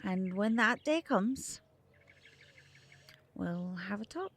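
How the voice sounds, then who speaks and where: A woman's voice: medium pitch, animated, slow pace, a bit bright. Actress as a solemn, protective magical mother. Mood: wistful, tender. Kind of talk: audio drama acting.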